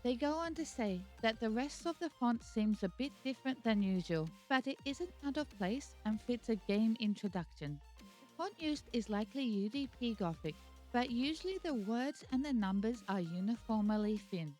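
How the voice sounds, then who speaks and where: A woman hosting her own video, reading a scripted explanation in a measured, explanatory way. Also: fairly high pitch, lively intonation, a little mellow, soft background music. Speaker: video host. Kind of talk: scripted narration.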